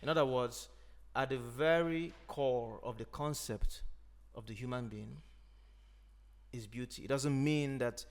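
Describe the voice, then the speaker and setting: A man from Nigeria, an Igbo speaker, talking in a thoughtful, unhurried way as a podcast host. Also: mid-range voice. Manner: thoughtful, unhurried, frequent "you know". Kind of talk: reflective conversation.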